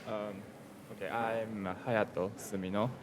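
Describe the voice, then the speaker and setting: A young man from Japan with a Japanese accent, talking as a pianist guest. He speaks in a hesitant, shy, halting way. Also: fairly low pitch, little pitch movement, a little hiss or hum. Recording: crowd murmur behind.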